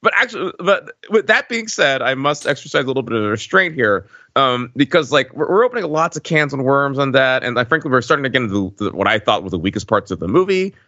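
A man co-hosting a podcast, casually discussing film in a halting way, repeating words and often checking in. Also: medium pitch, sing-song, sharp tone, no room sound, highs cut off. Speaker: podcast co-host.

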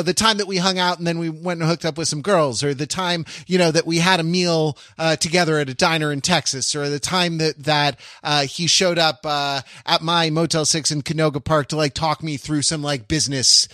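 Male podcast co-host, slightly high voice, loose rambling delivery, recorded dry and close. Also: sharp tone, no echo. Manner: rambling, many restarts, laughing asides. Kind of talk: casual discussion.

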